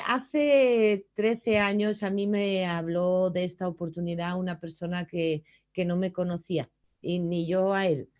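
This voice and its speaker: A middle-aged woman from Germany telling her personal work story in an earnest, reflective way, often pausing to hesitate. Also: fairly low pitch, dull audio. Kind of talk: personal testimony.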